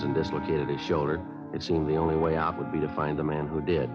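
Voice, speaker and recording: An actor playing a terse police detective sergeant who narrates his case in clipped, matter-of-fact 1950s radio drama style. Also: extremely low pitch. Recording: muffled old radio broadcast with music.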